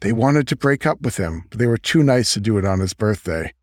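A man's voice: on the low side, expressive, a little fast, no echo, clean studio sound. A man reading a scripted fiction story aloud as an audiobook narrator.

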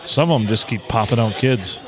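A man's voice: fairly low pitch, animated, fairly quick, music in the background. Crude joking man at a bar table. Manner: joking, loud outbursts, crude humor. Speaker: podcast co-host. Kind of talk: crude casual banter.

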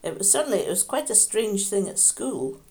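An older woman, gently reminiscing in conversation and often restarting her sentences. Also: mid-range voice, big pitch swings, a little fast. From Scotland. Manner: gentle, reflective, with frequent restarts. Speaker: interviewee, mother.

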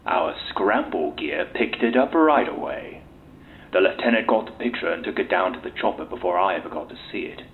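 Tinny interview recording, low male voice, bitter army officer, cynical, exasperated, foul-mouthed, audio drama. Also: big pitch swings, brisk, vocal fry. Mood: weary, bitter. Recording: tinny lo-fi interview tape with hiss.

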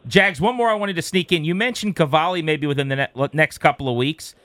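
A man's voice: fairly high pitch, quick pace, a bit bright, dry recording. An American man hosting a sports radio show, moving between commentary and interview questions.

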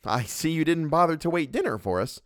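A man's voice: a little high, lively intonation, fairly quick, no room sound, clean studio sound, pitched above the performer's own voice, close to an everyday voice. A man reading a story and voicing an imperious, fussy middle-aged woman who scolds and condescends. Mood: haughty scolding.

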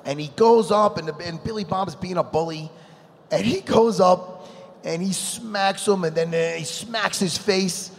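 A man with a New York accent, excitable and rambling in loud casual chat. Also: sits high, a little slow, gravelly. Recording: long hall reverb.

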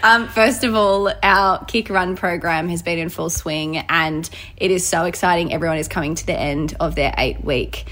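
Upbeat, lower-voiced woman podcast co-host. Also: moderate pace, few pauses, fairly bright tone. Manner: upbeat, enthusiastic, giggly. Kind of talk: casual podcast chat.

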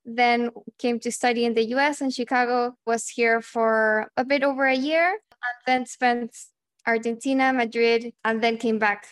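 A woman's voice: fairly high pitch, steady tone, moderate pace, no echo. Rambling woman guest from Chile. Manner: rambling with restarts and many "like"s. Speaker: guest, journalist. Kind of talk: interview.

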